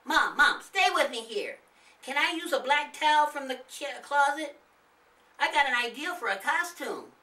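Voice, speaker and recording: A man's voice: very high voice, lively intonation, moderate pace, sharp tone, far from the mic, pitched above the performer's own voice, full character voice. A man voicing an eager, boastful little boy who plays superhero in a children's storybook. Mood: impatient, excited.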